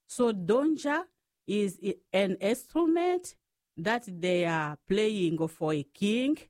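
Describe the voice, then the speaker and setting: A woman with a Congolese accent speaks slowly as an interview guest, recounting her life in short, halting phrases. Her pitch is medium for a woman and the sound is dry and close. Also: animated, darkish tone, no room sound. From Democratic Republic of the Congo. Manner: halting phrases in non-native English grammar. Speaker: guest, Franciscan sister. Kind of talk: personal storytelling in an interview.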